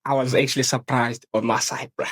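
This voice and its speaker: A man's voice: mid-range voice, a little fast. Slangy young South African man. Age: young adult. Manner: relaxed, slangy, says bro a lot. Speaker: podcast co-host. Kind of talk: casual chat.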